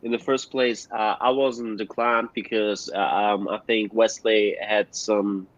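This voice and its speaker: A man from Germany, a guest musician answering interview questions haltingly with many fillers over a remote call. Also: fairly low pitch, little pitch movement. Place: at home in Germany, joining remotely. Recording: dull, dry remote call audio.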